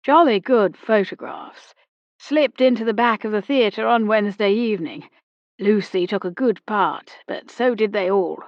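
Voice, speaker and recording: A woman voicing an old British army captain, brusque, with a clipped, slow and emphatic manner. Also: mid-range voice, no echo, dull audio, natural voice. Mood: confiding.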